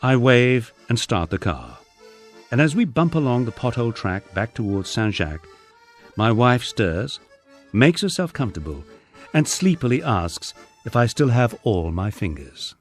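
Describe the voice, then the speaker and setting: A man's voice: mid-range voice, sing-song, a little slow, faint music behind. A man reading his own memoir aloud in a wry, storytelling manner. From England. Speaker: audiobook narrator. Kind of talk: first-person memoir narration.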